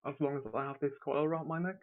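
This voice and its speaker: A man's voice: fairly high pitch, steady tone, rapid-fire, phone-quality sound, pitched above the performer's own voice, lightly acted. A man voicing a polite, weary blind woman held captive in a collar.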